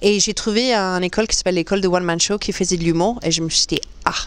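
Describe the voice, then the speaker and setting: A woman comedian from England speaks in a smooth, clear voice. She answers interview questions casually, hesitating with plenty of fillers and laughing easily. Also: fairly low pitch, fast talker, no echo. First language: English. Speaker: comedian guest.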